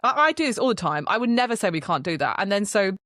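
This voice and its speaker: A woman's voice: medium pitch, big pitch swings, fast talker, a bit bright, no room sound, studio quality. A British woman as a podcast guest, telling stories in an earnest, reflective way. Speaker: guest, brand strategist. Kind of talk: casual podcast chat.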